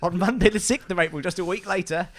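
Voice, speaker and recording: A man's voice: sits high, a bit bright. A man from England co-hosting a comedy podcast, teasing the others and laughing often. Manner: teasing, quick to laugh, lots of restarts. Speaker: podcast co-host.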